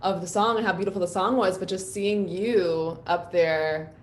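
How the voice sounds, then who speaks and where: Woman from nyc chatting in a live interview, kinda low voice, thoughtful, lots of ums. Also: steady tone, normal speed. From New York City. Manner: reflective, openly personal, many fillers. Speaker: guest musician. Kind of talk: casual live-stream interview.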